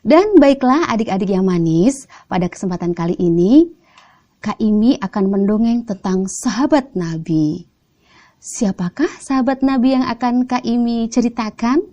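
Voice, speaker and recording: A woman's voice: on the high side, expressive, normal speed, warm tone, highs cut off. A woman with an Indonesian accent telling stories to children in a playful, coaxing way, often prompting them with questions. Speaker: children's storyteller. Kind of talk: animated storytelling addressed to children.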